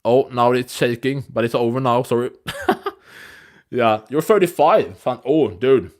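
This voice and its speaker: A young man in his twenties chats loosely with viewers on a live stream, his voice sitting at an ordinary middle pitch. He rambles, restarts his sentences and leans on fillers, talking straight into a phone. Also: measured pace. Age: 20s. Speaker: livestream host.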